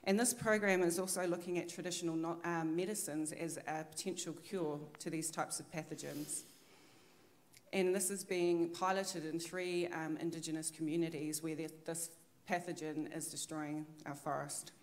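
New Zealand woman, 30s, deep-set low voice, steady panel speaker on a handheld mic. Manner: measured, frequent "um" pauses. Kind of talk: conference panel talk. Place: large conference hall.

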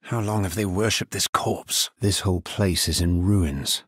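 Deep, husky, grim necromancer. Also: male voice, unhurried, no echo, clean studio sound. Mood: grave, watchful. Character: grim, dutiful male necromancer adventurer. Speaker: video game voice actor. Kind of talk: video game character voice lines.

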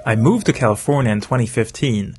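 A middle-aged man from Sweden narrating his motorcycle travel vlog in a calm, measured voice-over. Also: medium pitch. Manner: calm, informative, measured delivery. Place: voice-over, not recorded on the road. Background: faint music.

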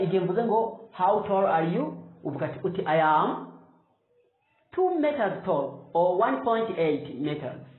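Male teacher in his 30s, high voice, slow drilled phrases, phone-like echoey room recording. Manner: slow, drawn-out model phrases. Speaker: English teacher. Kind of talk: whiteboard language lesson. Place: small room with whiteboard.

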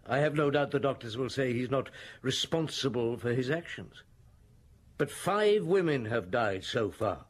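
A composed, patient police inspector from a radio drama. His mid-pitched voice is deliberate and shrewd, pausing to think, with a lively range of intonation. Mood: grave, pressing. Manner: measured, deliberate questioning with thoughtful pauses. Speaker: lead actor and narrator.

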